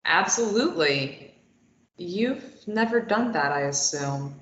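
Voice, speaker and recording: A woman reading a defiant captive young woman at a table read, firing back quick retorts. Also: fairly low pitch, animated, a bit bright, reverberant, highs cut off. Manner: quick retorts, tense and pleading when threatened.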